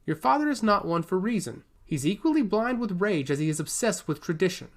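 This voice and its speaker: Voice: medium pitch, sing-song, brisk, pitched above the performer's own voice. Arrogant young envoy, lilting formal threats , read by a narrator. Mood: cold menace. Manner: imperious, formal, coolly threatening. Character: arrogant young male envoy of a rival duchy.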